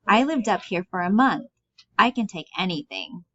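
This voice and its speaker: A woman voicing an excitable, cheeky, mischievous young boy in a stage play. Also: medium pitch, normal speed. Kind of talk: reading a stage play aloud. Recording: dull, muffled lo-fi audio.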